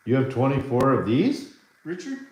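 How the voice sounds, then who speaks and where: A man's voice: mid-range voice, huge pitch swings, normal speed, darkish tone, slight background noise. Canadian man chatting in an echoey workshop. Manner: rambling, restarts often, chats with viewers. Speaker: livestream host. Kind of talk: casual live-stream chat. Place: RC hobby workshop indoors.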